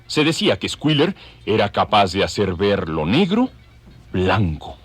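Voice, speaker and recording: A man's voice: on the low side, wildly swooping pitch, measured pace, slightly rough, faint music behind. A man narrating an audio drama in a scripted, dramatic storytelling style.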